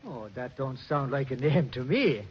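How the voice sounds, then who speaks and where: An actor playing a kindly, folksy station master who talks jovially and chuckles, in 1940s radio acting. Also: male voice, mid-range voice, expressive, normal speed, slightly rough. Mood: friendly. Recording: muffled old radio recording with faint hiss.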